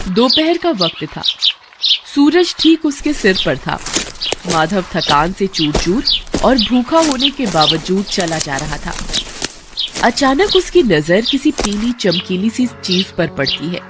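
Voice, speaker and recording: An expressive woman tells a children's story in a scripted storybook manner. Her pitch rises and falls with plenty of life. Music plays underneath. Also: slow. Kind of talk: scripted narration of a kids story. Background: background music.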